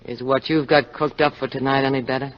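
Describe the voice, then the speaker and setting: An actress playing a cold, seductive, scheming murderess with intense melodrama in a 1950s radio drama. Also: female voice, rumbling low, fairly even intonation, fairly quick. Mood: scheming, bitter. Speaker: actor. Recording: dull old radio recording.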